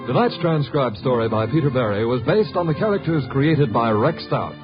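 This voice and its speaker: A man's voice: mid-range voice, lively intonation, normal speed. A man announcing scripted credits in the style of 1950s radio. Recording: dull 1950s radio recording. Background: music.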